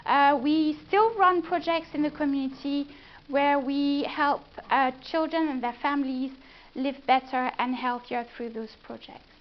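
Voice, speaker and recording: High-voiced French woman presenting. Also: fairly even intonation, a little slow. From France. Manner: chatty, engaging, asks the room questions. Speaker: business consultant presenting. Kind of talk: live presentation to an audience. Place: event room before a live audience. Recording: dull lo-fi event recording.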